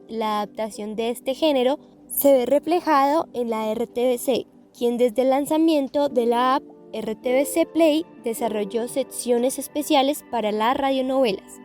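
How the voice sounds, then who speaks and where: A woman's voice: high voice, a little mellow. A woman with a Colombian accent reading scripted narration for a radio documentary.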